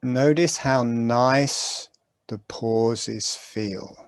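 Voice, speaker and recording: Slow, gentle male meditation guide. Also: medium pitch, clean tone, no echo. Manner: slow, calm, gentle instructions with long pauses.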